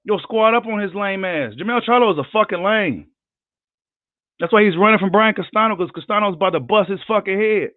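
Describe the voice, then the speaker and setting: A hoarse man who says he is losing his voice, ranting heatedly and profanely on a live boxing vlog. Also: high voice, brisk, dry recording. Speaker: boxing commentator. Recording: tinny phone-like line, dry.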